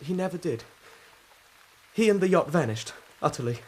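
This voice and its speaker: An actor playing a frightened, desperate young man in a radio drama, halting, pleading and agitated. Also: fairly high pitch, expressive, a little mellow, breathy edge. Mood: indignant, distressed. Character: frightened, desperate, high-strung young male suspect.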